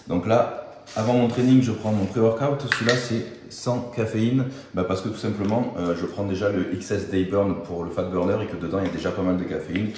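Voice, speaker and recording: Male fitness vlogger, thirties, low voice, casual chat, picked up afar in a noisy room. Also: steady tone, fairly quick, darkish tone. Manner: informal, conversational. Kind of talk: casual vlog chat about training and diet. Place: home: open living area and garage-like home gym. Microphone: camera mic at a distance. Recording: echoey, distant camera mic with background noise.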